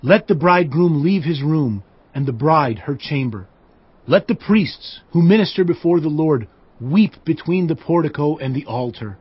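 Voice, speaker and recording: A man's voice: fairly high pitch, expressive, moderate pace, breathy edge. A man narrating dramatized scripture with earnest, declamatory phrasing. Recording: dull lo-fi audio. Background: faint background music.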